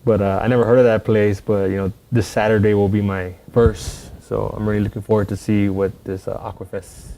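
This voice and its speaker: Rambling man with a mellow voice. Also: on the low side, little pitch movement, brisk, gravelly, hardly any pauses, slight background noise. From San Antonio, Texas. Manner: rambling, many "you know" fillers. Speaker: guest. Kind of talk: casual podcast chat.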